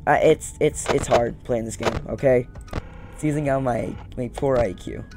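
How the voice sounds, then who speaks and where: A man's voice: mid-range voice, fairly even intonation, moderate pace, music in the background. A young man giving casual game commentary, nervously muttering and repeating his words over and over. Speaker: gaming video host. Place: indoor room at home.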